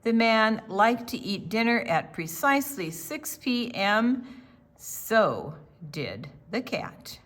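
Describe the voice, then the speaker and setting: Older lady reading a kids book, real slow, lots of up and down in her voice, asks the listeners stuff. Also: on the high side. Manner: deliberate, expressive, addresses listeners with questions. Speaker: children's storytime reader. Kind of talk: read-aloud storytelling for young children with chatty asides.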